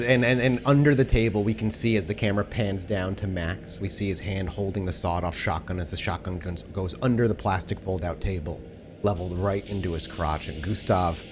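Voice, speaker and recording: Casting: male game master, fairly low pitch, dramatic scene-setting narration over clear background music. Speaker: game storyteller. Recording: prominent music behind the voice.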